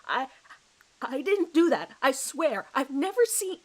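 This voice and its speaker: A woman voicing a bewildered, frightened male suspect who speaks haltingly in an audio horror drama. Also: sits high, sing-song, pitched above the performer's own voice. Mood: panicked, pleading. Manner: halting, stammering. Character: panicked, bewildered male suspect pleading innocence under questioning.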